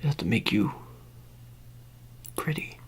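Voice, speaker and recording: A man doing an improvised ASMR roleplay in whispers and soft speech, rambling and hesitant with a deadpan delivery, close to the microphone. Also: lowish voice, moderate pace, creaky, slightly rough.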